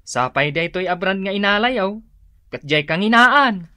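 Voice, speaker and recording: Bright-voiced fussy Ilocano repairman. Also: high voice, animated, unhurried, no echo, full character voice. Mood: fussy, reproachful. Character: fussy repairman inspecting a battered stove. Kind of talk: Ilocano radio comedy drama acting.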